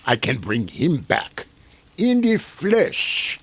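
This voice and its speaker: A man's voice: on the high side, wildly swooping pitch, slow, slightly rough, heavily acted. A man playing a sinister, boastful doctor in a 1950s-style radio drama, speaking formally, theatrically and secretively. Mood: grandiose, intense. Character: sinister grandiose doctor claiming to raise the dead.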